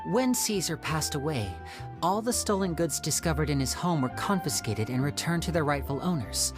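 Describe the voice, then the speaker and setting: Animated, low-pitched, courteous young stage magician. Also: female voice, music in the background. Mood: measured, planning. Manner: measured, courteous, persuasive. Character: smooth, polite young stage magician and schemer. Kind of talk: English game voice acting.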